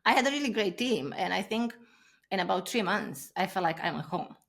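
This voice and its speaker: A woman in her thirties, raised in Romania, speaking English as her at a middle pitch. She tells her story in an animated, chatty way and acts out the people she quotes. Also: fairly quick, creaky. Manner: animated, acts out quoted voices, frequent laughs. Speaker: podcast guest. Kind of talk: casual interview storytelling.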